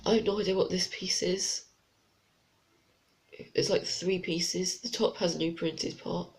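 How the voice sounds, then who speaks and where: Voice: medium pitch, steady tone, a little slow, slight background noise. Congested reviewer, chatty and rambling. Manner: chatty, rambling, unsure asides. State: blocked nose, congested. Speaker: toy set reviewer. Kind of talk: casual product review vlog.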